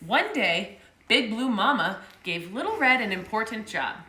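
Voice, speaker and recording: A woman hosts a story time and reads a picture book aloud to children in an upbeat, chatty way. Her voice is high, bright and animated. The echoey room adds a roomy ring. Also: measured pace, a little hiss or hum. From Colorado, United States. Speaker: story time host and reader.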